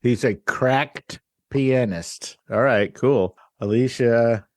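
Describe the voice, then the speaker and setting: A man's voice: medium pitch, slow pace, a little mellow, dry recording, clean studio sound. A man hosting a podcast interview, casual and teasing in manner. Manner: joking, teasing, laid-back. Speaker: podcast host.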